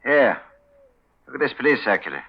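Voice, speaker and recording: A man's voice: medium pitch, very animated, fairly quick, gravelly, slightly rough, full character voice. An actor playing a suspicious, menacing plainclothesman, clipped and insinuating, in 1940s radio mystery style. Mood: accusing, firm. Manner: clipped, tough-guy, insinuating. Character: suspicious, menacing plainclothes policeman, terse and cagey. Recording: noisy, dull 1940s radio recording.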